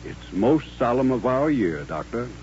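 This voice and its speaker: An actor playing a solemn, courteous Southern gentleman colonel with a formal, reflective manner in an old radio western. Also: medium pitch, big pitch swings, normal speed, a little hiss or hum, close to an everyday voice. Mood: solemn, earnest. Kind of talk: 1950s radio western acting. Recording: dull, humming old-time radio recording.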